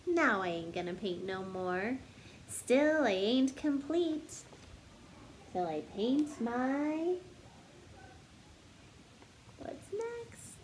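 A woman in her thirties hosts a story time for young children. Her voice sits a little high and she takes her time, shaping phrases in an expressive, sing-song teacher's way. It is a home recording with some noise around the voice. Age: 30s. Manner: slow, deliberate, animated teacher delivery addressing children. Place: living room at home, indoors.

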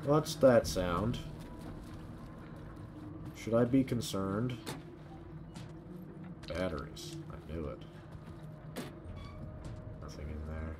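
A man's voice: low voice, animated, extremely slow, pauses often, noisy background. A man giving casual, profane video game commentary, muttering asides to himself. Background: video game sound.

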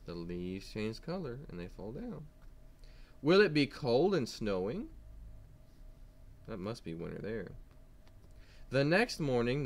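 A man teaching kindergarteners with an animated read-aloud, asking simple questions as he goes. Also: medium pitch. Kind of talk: read-aloud lesson for young children.

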